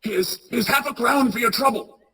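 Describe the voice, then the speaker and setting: A woman playing an excitable, repentant old male miser in a radio play, stammering and exclaiming. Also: on the high side, normal speed, breathy edge. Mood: giddy, overjoyed. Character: frightened old male miser, desperately repentant, then giddy.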